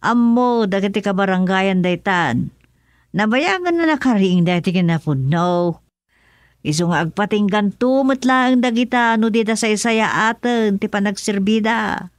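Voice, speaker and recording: A woman's voice: medium pitch, a little slow, dry recording, natural voice. A woman with an Ilocano accent playing a sensible, concerned older village mother in a radio drama. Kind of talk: radio drama acting, conversational.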